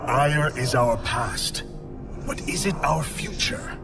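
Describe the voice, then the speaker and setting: An actor playing a weary, doubting alien warrior leader, speaking gravely and formally. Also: male voice, fairly high pitch, wildly swooping pitch, takes it slowly, heavily acted. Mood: brooding, grave. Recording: loud dramatic music under the voice. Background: loud music.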